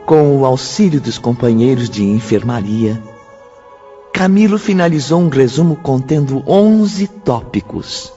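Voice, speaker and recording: An actor narrating a spiritual audio drama in a calm, sober, scripted style. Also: male voice, mid-range voice. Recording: dull audio with music behind.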